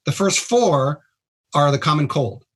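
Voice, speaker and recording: A man's voice: fairly high pitch, animated, a little slow, slightly rough. A man who is a trauma surgeon, a guest on a radio show, explaining things bluntly and emphatically in casual talk.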